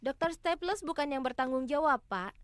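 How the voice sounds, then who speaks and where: High-voiced clever girl sleuth. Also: normal speed, fairly bright tone, slight background noise. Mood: confident explaining. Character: clever, confident young female cartoon sleuth. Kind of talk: animated cartoon dub acting. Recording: music behind the voice.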